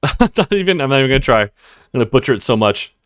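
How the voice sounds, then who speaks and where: A man's voice: medium pitch, very animated, rapid-fire, a bit bright, clean tone, no room sound, clean studio sound. A man playing a visual novel as a let's play host, reacting casually as he goes.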